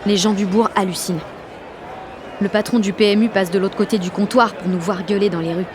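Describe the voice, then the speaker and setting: A woman's voice: mid-range voice, fairly even intonation, brisk, noisy background, lightly acted. A woman playing a cocky, exalted sixteen-year-old girl activist, narrating an audio drama in brash, confiding slang. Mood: euphoric. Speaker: lead actor and narrator.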